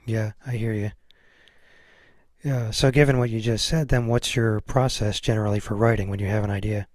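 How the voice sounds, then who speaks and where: Male podcast host, lowish pitch, dry recording, agreeable and conversational. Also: fairly even intonation, normal speed, a little mellow, dry recording. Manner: agreeable, supportive listener. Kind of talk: casual interview chat.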